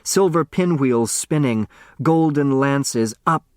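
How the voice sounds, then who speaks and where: Measured male audiobook narrator, dry and close. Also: mid-range voice, no room sound. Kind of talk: scripted dramatic storybook narration.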